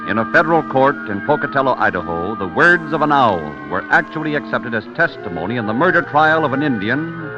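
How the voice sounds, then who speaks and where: Vintage radio announcer, male: mid-pitched, declamatory scripted narration, dramatic pauses, dull old recording, music underneath. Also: expressive.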